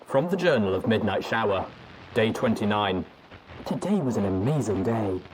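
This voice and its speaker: A man's voice: on the low side, expressive, normal speed, darkish tone, slight background noise, natural voice, low-quality recording. A man voicing a prim male pony royal astronomer who reads out his journal entries in a formal way.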